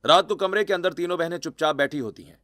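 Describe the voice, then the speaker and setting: A male storyteller with a fairly high-pitched voice. He delivers scripted, dramatic narration for a cartoon tale. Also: fast talker, a bit bright.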